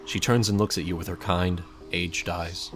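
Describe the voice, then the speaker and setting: A man's voice: sits low, fairly even intonation, normal speed, loud music behind the voice. A man reading scripted second-person fan fiction as a dramatic storyteller. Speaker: narrator.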